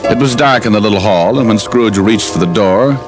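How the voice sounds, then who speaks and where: A man's voice: fairly low pitch, brisk. A man narrating a radio play in a breezy, slangy, wry storytelling manner. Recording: old radio with music.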